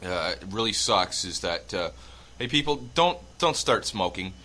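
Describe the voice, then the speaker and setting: A man's voice: on the low side, very animated, a little slow, sharp tone. A man hosting a radio show, chatting casually between tracks, stuffed up from a cold and rambling with many fillers. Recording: music playing under the voice.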